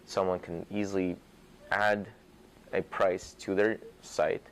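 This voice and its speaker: A man with a Ukrainian accent explaining his startup in a news interview clip, speaking slowly and carefully. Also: low-pitched, little pitch movement.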